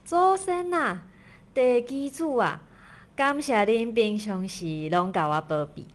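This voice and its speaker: Woman as a slow-spoken devout worshipper. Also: on the high side, expressive. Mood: reverent. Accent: Taiwanese. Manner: measured, ritual chanting delivery. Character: pious everyday female worshipper reciting offerings to gods.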